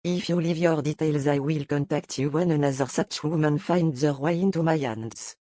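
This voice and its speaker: A woman's voice: sits low, normal speed, warm tone, dry recording, studio quality, pitched below the performer's own voice. Woman voicing a smug, cold male slave trader. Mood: cold, courteous. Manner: formal, condescending.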